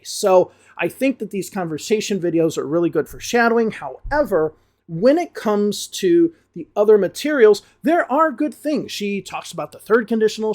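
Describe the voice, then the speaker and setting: An American man from the Chicago area, an English teacher giving a relaxed spoken review full of hedges. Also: sits high. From Chicago area, USA. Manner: relaxed, talks things through with frequent hedges.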